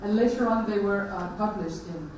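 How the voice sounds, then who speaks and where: Icelandic woman giving a talk, mellow voice, lots of ums, echoey hall, sounds far off from the mic. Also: medium pitch, normal speed, slight background noise. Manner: hesitant, many fillers and restarts. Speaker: conference speaker. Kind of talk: presentation talk. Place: large conference hall.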